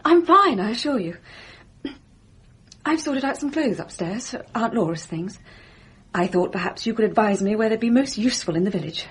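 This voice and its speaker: A woman's voice: fairly high pitch, lively intonation, normal speed, slightly rough. An actress playing a genteel young English lady in a radio drama, politely clipped and brittle, hiding inner turmoil. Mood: tense, composed. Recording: radio drama with faint background noise.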